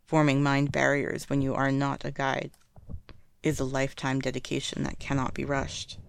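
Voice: mid-range voice, completely flat delivery, moderate pace, gravelly, slight background noise, lightly acted. A reader voicing a stern, reserved young male cultivator who speaks tersely and formally. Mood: lecturing. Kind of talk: fan fiction read aloud.

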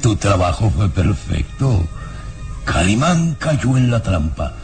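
Slow, dark-voiced gloating villain baron. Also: male voice, on the low side, big pitch swings, soft background music, full character voice. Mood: gloating, satisfied. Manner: smug, savouring his words. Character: sinister, gloating baron rewarding his servant. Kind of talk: old radio serial acting.

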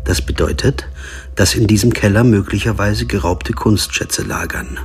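An actor playing a sober, insistent lawyer who investigates and narrates in a German radio crime drama. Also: male voice, lowish voice, moderate pace, breathy edge. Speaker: narrator and lead character.

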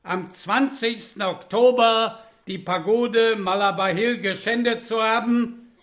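An actor in a German radio play playing a stern British colonial judge, formal, officious and deliberate. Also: male voice, high voice, fairly bright tone.

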